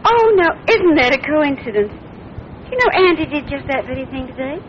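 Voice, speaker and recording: An American actress playing a sweet, scatterbrained older wife and mother, gentle and guileless, in 1940s radio drama. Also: high-pitched, sing-song, fairly quick. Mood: fondly guileless. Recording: muffled, noisy old radio recording.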